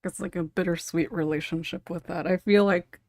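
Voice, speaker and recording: A woman's voice: fairly low pitch, fairly even intonation, moderate pace, darkish tone, no room sound. A woman hosting a casual panel podcast in a chatty, giggly manner. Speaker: podcast host and scriptwriter.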